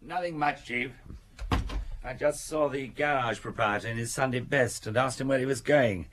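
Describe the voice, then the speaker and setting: A man's voice: medium pitch, moderate pace, background noise, natural voice. An actor playing an earnest police subordinate in a radio drama, matter-of-fact and conversational, musing aloud to his chief. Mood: matter-of-fact, dutiful.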